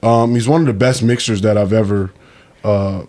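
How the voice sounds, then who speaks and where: A man in his late thirties from the Midwest, talking casually as a podcast guest in loose street slang, with sudden animated bursts. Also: lowish voice, normal speed. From Milwaukee, Wisconsin. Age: 30s. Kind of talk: casual conversation.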